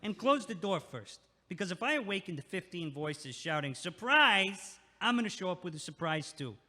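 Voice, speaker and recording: Guy acting a pushy sarcastic nyc neighbor, high swoopy voice, echoey hallway. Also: big pitch swings, normal speed, pushed and bright, full character voice. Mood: belligerent. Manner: blunt, sarcastic, street-talking. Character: loud, pushy, meddling New York night-shift neighbor. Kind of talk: audio-play acting. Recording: echoey, long hallway-like reverb.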